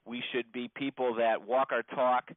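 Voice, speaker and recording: Man, rabbi, informal religious teaching, mid-pitched voice, halting conversational delivery, repetition for emphasis, muffled phone-call audio. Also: little pitch movement, no echo. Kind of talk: informal religious lesson, explaining with examples. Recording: muffled band-limited phone conference call.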